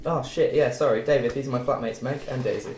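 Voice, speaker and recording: Guy reading cocky sweary young student lad, talks really fast, roomy recording with a bit of noise. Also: mid-range voice, steady tone, lightly acted. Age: young adult. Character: brash, foul-mouthed, teasing male student. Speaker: student cast member at a table read. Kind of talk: script read-through.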